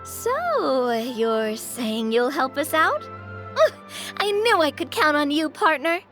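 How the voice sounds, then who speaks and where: A woman voicing a bubbly, eager young woman in a video game, excitable and playful with emphatic delivery. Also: high-pitched, slow, heavily acted. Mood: delighted. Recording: music bed behind the voice.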